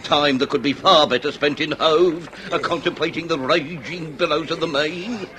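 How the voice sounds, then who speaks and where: An actor playing a middle-aged Victorian police inspector, pompous and grandiloquent, in a period radio comedy drama. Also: male voice, fairly high pitch, crowd noise, close to an everyday voice. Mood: scornful, commanding. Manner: grandiloquent, deliberate, commanding.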